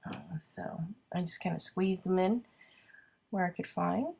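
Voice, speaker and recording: A woman talks her way through a craft project in a relaxed, meandering way, pausing on ums and teasing herself. Her voice sits a little low and sounds husky and stuffed up from a cold. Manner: chatty, self-deprecating, thinking aloud with many ums. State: congested with a cold. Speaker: card-making crafter. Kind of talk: casual craft video narration.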